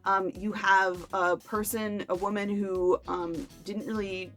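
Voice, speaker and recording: A woman's voice: medium pitch, flat delivery, a little slow, a bit bright, soft background music. A woman chatting casually to camera as a book review vlogger, with playful, self-deprecating asides.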